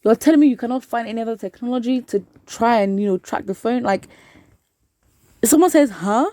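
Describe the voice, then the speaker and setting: Exasperated woman commentator, mellow voice. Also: on the high side, fairly quick, dry recording. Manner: exasperated, rhetorical questions, emphatic repetition. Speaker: online news commentary host. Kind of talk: opinionated commentary, reading viewer comments aloud.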